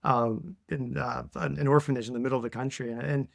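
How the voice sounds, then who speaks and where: A man's voice: mid-range voice, fairly even intonation, creaky, no room sound, studio quality. A man co-hosting a podcast, chatting casually and telling anecdotes before putting questions to his guest. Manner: chatty storyteller, quick questions. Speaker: podcast co-host.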